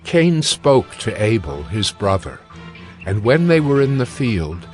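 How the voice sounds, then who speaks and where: A man narrating scripture from a script in a measured, solemn manner. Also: lowish voice, sing-song, soft background music.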